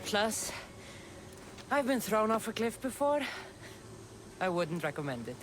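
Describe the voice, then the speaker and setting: A woman's voice: medium pitch, sing-song, unhurried, over music. A woman voicing a tough, level-headed warrior woman in a video game, frank and firm in manner. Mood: reassuring. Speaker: investigator and fighter. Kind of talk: video game cutscene acting.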